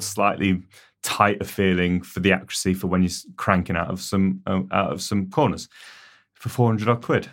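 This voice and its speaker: A man with a very low voice, chatting easily about technical gear. He fills his explanations with loose hedges and casual asides. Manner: relaxed, hedging with "you know, like". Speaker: technical editor, wheel tester.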